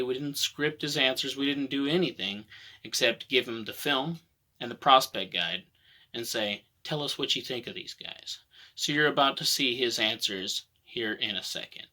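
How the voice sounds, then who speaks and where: A man's voice: medium pitch, pushed and bright. A man hosting a sports interview show, speaking in an upbeat, enthusiastic way. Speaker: host.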